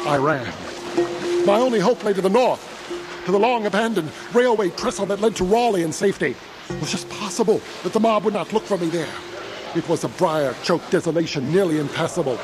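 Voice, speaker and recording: Casting: male actor as haunted, horrified traveler, slightly high voice, solemn literary storytelling for horror radio play; noisy ambience behind. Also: lightly acted. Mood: dread, awe, then tense. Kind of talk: dramatic first-person horror narration, audio theatre.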